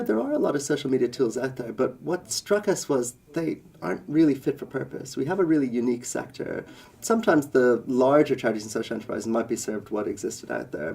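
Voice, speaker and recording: A man's voice: medium pitch, gravelly, dark tone. A man from India, a company co-founder, giving earnest interview answers with many fillers and list-like phrasing.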